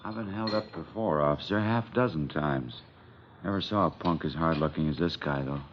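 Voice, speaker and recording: An American actor playing a talkative, folksy lunch counter owner giving witness testimony in a 1950s radio drama. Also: male voice, a bass voice, moderate pace, slight background noise. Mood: recounting. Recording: muffled vintage radio recording.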